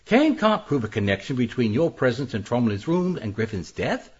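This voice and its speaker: An actor playing a smug, scheming English gentleman murderer in a radio mystery, suave, condescending and dryly sarcastic. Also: medium pitch, sing-song, normal speed, slightly rough. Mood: smooth, condescending. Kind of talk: radio mystery drama acting. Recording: slightly dull, muffled audio.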